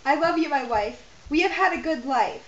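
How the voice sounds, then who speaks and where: A woman acts out a sulky puppet-show French king, reluctant and high-pitched. The amateur recording is dull and very noisy. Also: animated, moderate pace, echoey room, recorded from a distance. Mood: sentimental.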